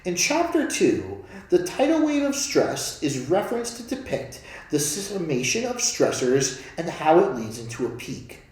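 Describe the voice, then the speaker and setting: A man's voice: fairly high pitch, huge pitch swings, a little slow, few pauses, darkish tone, echoey room, poor audio. A man reading his own training manual aloud as scripted narration, in formal, academic language.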